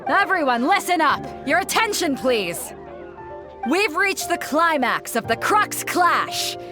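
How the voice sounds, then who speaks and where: A woman's voice: high-pitched, very animated, takes it slowly, music in the background, exaggerated voice. An actress playing a bold female ship captain in a video game, announcing a tournament in a commanding, showy manner.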